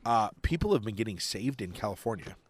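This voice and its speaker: A young man co-hosting a casual podcast, chatty and quick to laugh, recorded outdoors on a porch. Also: lowish voice, expressive. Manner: chatty, laughs often, talks over his co-host. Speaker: podcast co-host. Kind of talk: casual chat.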